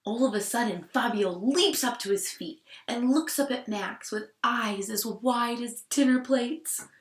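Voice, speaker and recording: A woman with a fairly high voice reads a picture book to a class at a very unhurried pace. She is expressive and drops into hushed asides to the children. The room around her sounds echoey. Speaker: teacher reading aloud to class. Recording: roomy, echoing sound.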